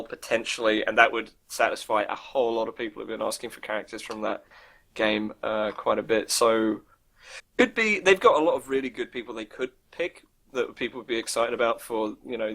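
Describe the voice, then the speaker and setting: A man's voice: mid-range voice, gravelly, fairly bright tone. A man co-hosting a casual, unscripted gaming podcast, informal and jokey, with loose language. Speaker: co-host presenting video game news.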